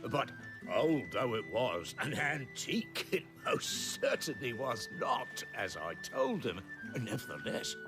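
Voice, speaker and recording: Wordy, fawning trader for a game cutscene, highish slow voice, music behind. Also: male voice, heavily acted, rough recording. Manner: haughty then obsequious, wordy, hesitant. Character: flustered, fawning male market trader eager to please. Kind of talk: video game cutscene acting.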